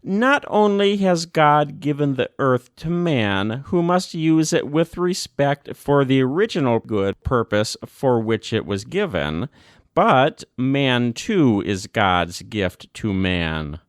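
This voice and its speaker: A man reading a formal religious text as scripted narration, with measured, deliberate phrasing and emphatic pauses. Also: mid-range voice, expressive, smooth, no echo, studio quality. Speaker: volunteer audiobook narrator.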